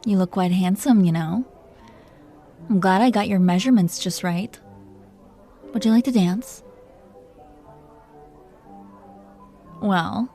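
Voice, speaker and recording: A woman's voice: medium pitch, measured pace, pauses often, warm tone, faint music behind, lightly acted. A woman playing a commanding, playful, possessive dragon queen in an intimate roleplay audio drama. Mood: flirty, teasing. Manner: imperious, playful, teasing.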